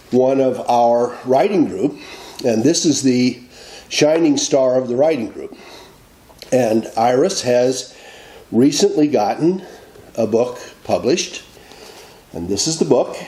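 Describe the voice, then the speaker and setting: A man hosting an interview, courteous and measured, prompting his guest with questions. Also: medium pitch, mellow, roomy sound, rough recording. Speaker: interview host. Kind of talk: casual interview.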